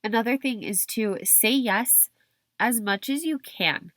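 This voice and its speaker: A young woman hosting her own podcast while stuffy and hoarse from a cold, talking in a chatty way with frequent restarts. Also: on the high side, measured pace, fairly bright tone, no room sound, clean studio sound. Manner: chatty, restarts and hedges with kind of. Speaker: podcast host. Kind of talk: solo podcast talk.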